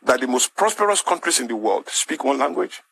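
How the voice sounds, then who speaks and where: Forceful Nigerian man arguing passionately. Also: medium pitch, big pitch swings, fairly quick, gravelly, husky. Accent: Nigerian English. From southwest Nigeria. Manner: emphatic, rhetorical, repeats key phrases. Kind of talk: impassioned talk-show argument.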